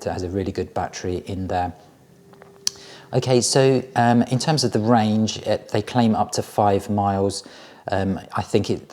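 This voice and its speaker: Deep, mellow-voiced man reviewing a product. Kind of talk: informal product review, unscripted demonstration.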